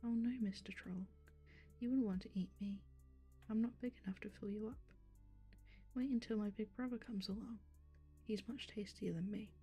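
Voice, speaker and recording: A woman voicing a nervous middle-sized billy goat in a storybook, pleading in a soft voice. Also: medium pitch, steady tone, moderate pace, pauses often, husky, soft background music, lightly acted.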